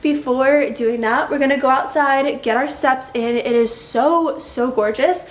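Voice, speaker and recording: A woman's voice: high-pitched, echoey room, rough recording. Bubbly young woman, slightly nasal. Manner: bubbly, chatty, drawn-out emphasis. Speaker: lifestyle vlogger. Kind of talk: chatty vlog talk to camera.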